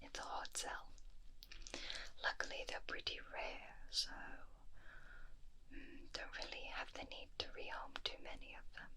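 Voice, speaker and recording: A woman whispers a soft ASMR roleplay close to a binaural microphone, speaking to the listener as a customer in a breathy voice. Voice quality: breathy unvoiced whisper, crisp and bright. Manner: slow, soft-spoken, addressing the listener as a customer. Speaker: ASMR roleplay host, magical pet shop keeper.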